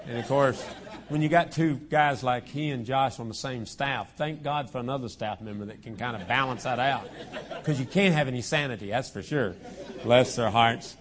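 A man, his voice a bit hoarse from a cold. He opens a sermon in a church auditorium in a folksy, chuckling, self-deprecating way, speaking into a lapel mic. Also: medium pitch, moderate pace, some chatter behind. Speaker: guest preacher. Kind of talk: joking sermon opening.

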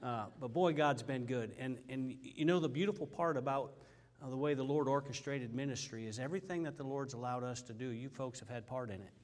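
A man's voice: medium pitch, a little fast. Folksy man in his sixties, preaching. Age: 60s. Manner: folksy, chatty, frequent fillers and amens. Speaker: visiting missionary preacher. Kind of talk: informal church testimony. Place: church sanctuary.

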